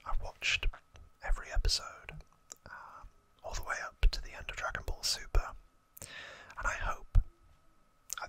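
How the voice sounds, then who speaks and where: An ASMR host explaining trivia off the cuff in a hushed, breathy, unvoiced whisper. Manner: slow, hushed, unscripted explaining.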